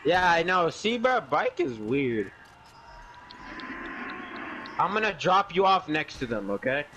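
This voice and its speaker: A man's voice: on the high side, sing-song, takes it slowly, sharp tone, a little hiss or hum, rough recording. A young man chatting casually while gaming online, teasing and mocking the others with plenty of swearing. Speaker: gaming video host playing online. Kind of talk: casual game voice chat.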